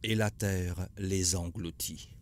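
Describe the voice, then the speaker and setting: A man's voice: low-pitched, steady tone, slow. A man playing a kindly older grandfather who tells prophet stories in a cartoon, solemn and patient. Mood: grave, patient. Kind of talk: animated religious story narration.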